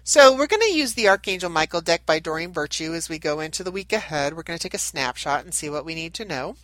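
A woman's voice: on the low side, lively intonation, fast talker, a bit bright, dry recording. A woman with a nasal voice, getting over a head cold, giving a rambling spiritual talk as a channel host and card reader. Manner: meandering, chatty, with many restarts. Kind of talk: casual spiritual talk to camera.

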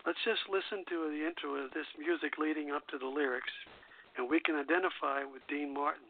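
A man hosting a radio music show, chatting and partly reading from notes in a halting way, stumbling over words. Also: a little high, fairly even intonation. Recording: tinny phone-like radio stream.

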